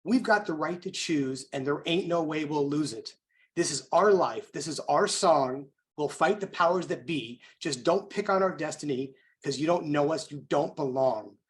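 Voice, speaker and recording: Higher-pitched American man giving a motivational speech. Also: normal speed. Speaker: event host. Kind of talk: motivational speech introducing a guest.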